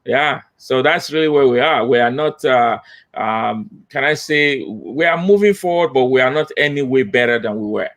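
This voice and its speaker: A middle-aged man speaks over a laptop webcam on a video call, gesturing as he talks and driving points home with repetition. His pitch sits in an ordinary middle range. He sounds like a consultant laying out his approach on a panel. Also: normal speed. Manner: animated, gestures with hands, emphatic repetition. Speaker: diversity consultant. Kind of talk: panel discussion. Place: home office with banner.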